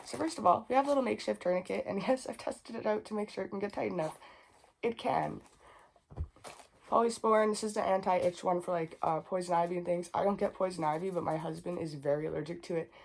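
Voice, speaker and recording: A woman, chatting casually and unfiltered to camera in a packing vlog, a little sniffly and raspy from a cold. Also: lowish voice, fast, a little mellow. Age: 20s. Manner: chatty, unfiltered, rambling asides. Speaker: vlogger.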